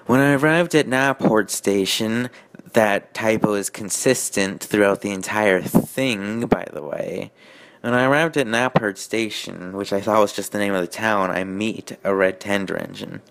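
Male comedy reader and commentator, fairly deep, exasperated, pedantic, stumbling over his own asides. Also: vocal fry, a bit bright. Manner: sarcastic, nitpicking, halting. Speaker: host of fan fiction reading show.

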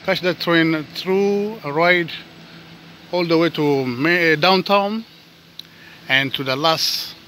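A non-native English-speaking man narrates a walking vlog on a suburban path, filming on a handheld phone. His voice sits fairly high and bright, and he talks slowly and conversationally. A faint hiss of outdoor ambience stays behind him. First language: not English. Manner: chatty, often addresses viewers as guys, drops to soft asides. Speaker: vlogger.

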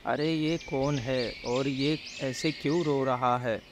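Man acting a slow-spoken, kindly village farmer. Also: medium pitch, fairly even intonation, noisy background, close to an everyday voice. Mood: concerned. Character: kind, humble village farmer, a married man. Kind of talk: animated moral story dialogue. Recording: background music under the voice.

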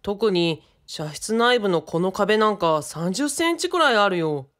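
An earnest young man in a radio drama, played by a woman. He is courteous and a little cheeky, speaking in a mid-range feminine pitch with lively swings. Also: measured pace. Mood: thoughtful. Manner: courteous, matter-of-fact.

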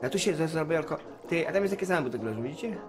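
A man's voice: fairly high pitch, animated, very fast, music in the background. A man doing casual livestream commentary on a game, swearing coarsely and talking back at it and his viewers. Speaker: game streamer.

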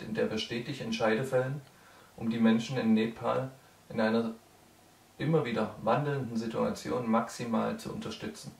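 A man in his thirties giving an earnest, prepared charity update in short, measured phrases, seated indoors. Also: medium pitch, creaky. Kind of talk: prepared spoken report to supporters. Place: small indoor room, seated before camera. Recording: roomy echo, distant microphone.

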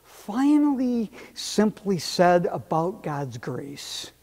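A man's voice: sits high, drawn-out words. A middle-aged man preaching a sermon as pastor, speaking expressively with a lapel mic in a church sanctuary. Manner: expressive, gesturing with both hands. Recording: long reverb of a church hall.

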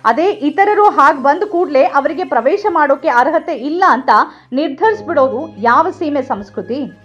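A woman's voice: high voice, lively intonation, normal speed. A woman reading a scripted news voice-over in an earnest tone, often asking rhetorical questions. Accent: Kannada. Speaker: news narrator. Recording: slightly dull lo-fi sound with faint music.

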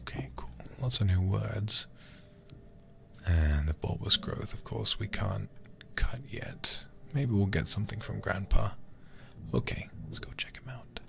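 A man doing hushed ASMR game commentary as a livestreamer, murmuring softly and often whispering close to the microphone. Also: on the low side, measured pace. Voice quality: soft, breathy, often whispered. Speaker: livestreamer playing a horror game.

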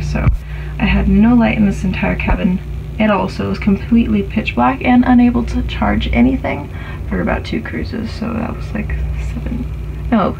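A woman's voice: mid-range voice, gravelly. A young woman chatting casually with lots of fillers as she gives a vlog tour of a small ship cabin, filmed on a hand-held phone. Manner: chatty, rambling, with many fillers. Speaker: vlogger. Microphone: phone camera held in hand. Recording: dull lo-fi phone audio with noise.

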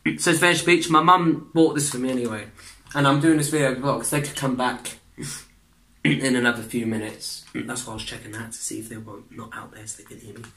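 A young man, chatting in a rambling way for a reaction vlog in his bedroom, restarting sentences, clearing his throat and swearing often. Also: mid-range voice, fairly even intonation, a little fast, fairly bright tone. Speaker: vlogger reacting to videos. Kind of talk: casual reaction vlog chat. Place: small bedroom indoors.

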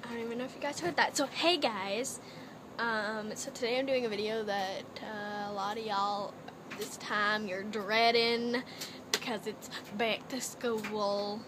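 A woman's voice: medium pitch, fairly even intonation, measured pace. A young woman from Canada, chatting and rambling through a haul video in a playful put-on accent, recorded on a laptop webcam in her bedroom. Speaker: vlogger. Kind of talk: casual haul video chat. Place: small cluttered bedroom, indoors. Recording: noisy laptop webcam audio.

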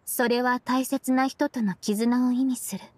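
A woman voicing an aloof young woman in a game, reserved, solemn and earnest, with a slightly husky voice. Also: sits high, a little slow, no room sound. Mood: reflective. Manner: reserved, literal, flatly earnest. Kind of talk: restrained game voice acting.

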